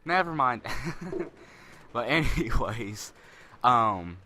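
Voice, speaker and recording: A young man with a deep voice giving rambling, spontaneous commentary over gameplay, a little self-conscious. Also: very animated, extremely slow, background noise.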